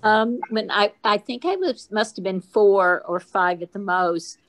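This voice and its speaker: A woman's voice: mid-range voice, unhurried, fairly bright tone. Older female, conversational storyteller on a video call. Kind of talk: casual personal storytelling.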